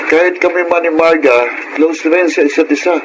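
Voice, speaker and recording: With noise clearly behind him, a young Filipino guy voiced by an actor talks in a fairly high male voice. He is an easygoing, slippery younger brother from a radio drama. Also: normal speed, lightly acted. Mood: easygoing, eager.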